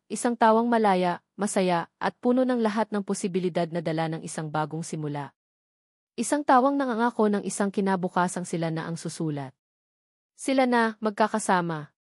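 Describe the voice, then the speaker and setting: A Filipino woman tells a dramatic story in a sentimental, measured voice. Her pitch sits in the middle range for a woman. The sound is dry, with no room around it. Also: darkish tone, no echo. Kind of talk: scripted dramatic story narration.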